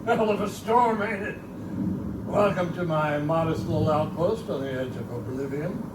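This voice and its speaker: A man's voice: fairly high pitch, normal speed, natural voice. A man playing a folksy, wisecracking keeper of a remote outpost library, chatty and theatrical, in pulp storytelling style at a live show. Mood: welcoming. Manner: colloquial, chatty, theatrical, irreverent. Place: live in a bookstore. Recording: roomy distant live recording, loud background noise.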